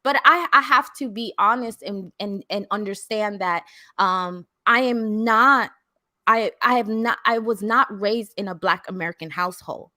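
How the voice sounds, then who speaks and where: A woman's voice: medium pitch, a little slow, sharp tone, no room sound, clean studio sound. A young woman from a Jamaican household, talking earnestly on a live panel and often restarting her words.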